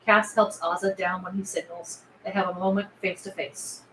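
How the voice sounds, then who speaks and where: A roomy echo and background noise surround a woman with a mid-pitched voice. She reads out scene headings and stage directions from a film script as scripted narration. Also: little pitch movement, normal speed, pushed and bright. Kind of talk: scripted narration at a table read.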